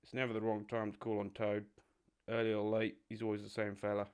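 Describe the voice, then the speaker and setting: A man voicing a cheerful, confident male water rat who speaks briskly. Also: lowish voice, completely flat delivery, smooth, lightly acted.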